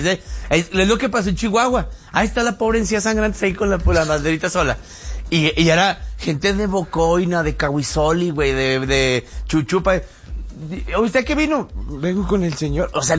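A man's voice: high-pitched, animated, a little fast, a bit bright, breathy edge. A man with a Mexican accent hosting a radio talk show, joking and laughing through slangy banter. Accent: Mexican Spanish. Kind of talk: casual comic banter.

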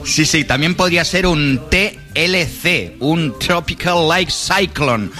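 A man's voice: fairly high pitch, expressive, takes it slowly, bright, forceful tone. Chatty male radio weatherman over music. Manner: chatty, playful wording. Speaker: weather presenter. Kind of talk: casual radio weather report. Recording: radio broadcast with music behind.

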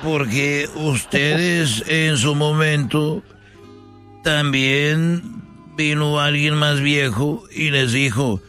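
A man playing a parody Mexican ranchera star, a stubborn, defensive younger brother who talks haltingly in a Mexican accent. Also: fairly high pitch, steady tone, bright, forceful tone, full character voice. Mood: stubborn, indignant. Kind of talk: comedic impression in a sketch. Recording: music playing behind the voice.

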